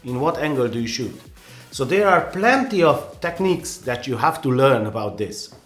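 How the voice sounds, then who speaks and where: An earnest man with a Hungarian accent talks through his advice, voice pitched in the middle range, circling back with plenty of restarts and "you know"s. He sounds like he's in a roomy, echoing space, with faint music somewhere behind him. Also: very animated, moderate pace, fairly bright tone. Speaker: photographer giving advice. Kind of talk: casual advice vlog.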